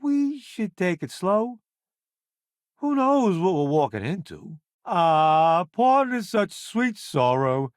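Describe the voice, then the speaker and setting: A cocky veteran journalist in a space game: slightly high voice, hugely animated pitch, wry showman flair. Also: slow, dry recording, clean studio sound, exaggerated voice. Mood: self-assured, wry. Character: brash, self-assured veteran food journalist turned spacefaring crewman. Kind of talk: video game companion voice lines.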